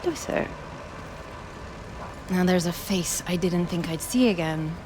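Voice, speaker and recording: A woman's voice: lowish voice, slow pace, background noise. A woman playing an imperious, coolly menacing villain in a video game, formal and haughty. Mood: cool menace. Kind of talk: cinematic video game acting.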